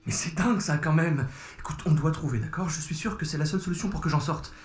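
A man's voice: a little high, fast talker, natural voice. An actor playing a frantic man obsessed with a noise, speaking insistently and repeating himself in an audio drama. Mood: agitated, desperate. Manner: agitated, insistent repetition. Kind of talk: French audio fiction acting.

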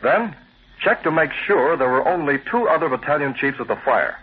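Attore come investigatore calmo, registrazione ovattata. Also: male voice, fairly high pitch, natural voice. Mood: measured, commanding. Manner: measured, curious, authoritative. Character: calm, shrewd male investigator in charge. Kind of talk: old-time radio crime drama acting. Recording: muffled, hissy vintage radio recording.

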